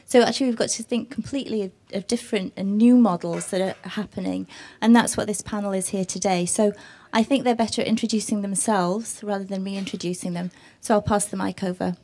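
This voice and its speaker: A woman moderating a panel, asking questions in a chatty, halting way with fillers. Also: mid-range voice, fairly even intonation, warm tone. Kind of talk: panel discussion.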